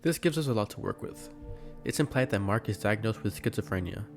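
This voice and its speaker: A man's voice: fairly low pitch, expressive, brisk, faint music behind. A man giving scripted narration, recapping a horror story as a video essay narrator.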